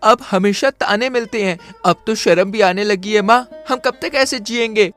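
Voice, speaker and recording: A woman's voice: mid-range voice, normal speed, soft background music, lightly acted. A woman voicing a poor young boy who pleads and complains in a cartoon story. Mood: pleading.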